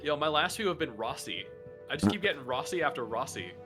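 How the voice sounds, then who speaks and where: A man's voice: on the high side, sing-song, fast talker, fairly bright tone, husky, soft background music. A man guest on a casual gaming podcast, teasing the others with dry banter.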